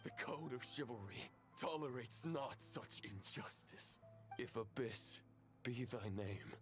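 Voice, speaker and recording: An actor playing a grave, zealous knight in a video game, declaiming in formal, archaic oaths. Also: male voice, mid-range voice, animated, takes it slowly. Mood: righteous fervor. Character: solemn, righteous male knight, grave and zealous. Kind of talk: dramatic video game voice acting. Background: dramatic game music.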